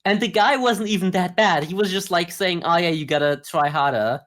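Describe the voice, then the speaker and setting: A man's voice: high-pitched, brisk, fairly bright tone. A man co-hosting a podcast, giving casual, wry criticism in a discussion of anime. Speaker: podcast co-host.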